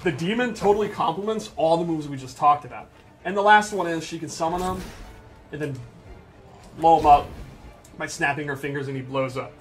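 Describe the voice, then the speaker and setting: A man's voice: fairly high pitch, fairly quick, pauses often, soft background music. A man presenting gameplay in casual, unscripted commentary, explaining things with enthusiasm and emphasis.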